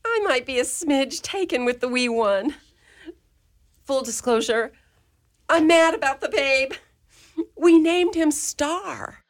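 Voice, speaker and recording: A woman's voice: high-pitched, expressive, slow, pauses often, exaggerated voice. A woman playing a mother superior of a convent orphanage in a community radio play, wry and motherly with a lilting way of talking.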